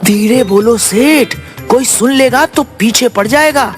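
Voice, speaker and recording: An actor playing a cunning, boastful young farmer's son in a cartoon story, with exclamatory, persuasive delivery. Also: male voice, very high voice, measured pace, soft background music. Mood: sly, persuasive. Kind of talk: Hindi cartoon story dialogue.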